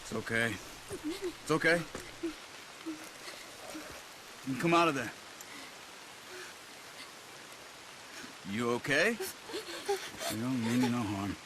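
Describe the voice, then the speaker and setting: An actor playing a gentle, cautious outlaw companion in a game, earnest and measured. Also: male voice, medium pitch, lively intonation, noisy background, natural voice. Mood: gentle concern. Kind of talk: naturalistic video game dialogue. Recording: loud music and animal sounds behind.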